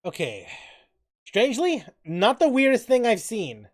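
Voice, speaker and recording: A woman's voice: a little high, sing-song, a little slow, darkish tone. A woman giving casual livestream commentary on a game, with wry, muttered asides. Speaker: game streamer.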